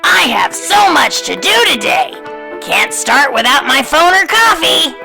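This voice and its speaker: Woman acting out a grumpy male goose, high-pitched, swooping exclamations, drawn-out emphatic complaints, children's storybook, music behind. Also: sing-song, vocal fry, full character voice. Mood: indignant.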